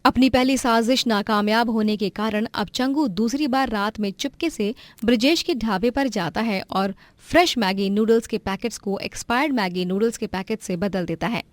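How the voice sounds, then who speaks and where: A woman narrating a cartoon moral story in a clear, expressive way. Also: a little high, normal speed, hardly any pauses, dry recording.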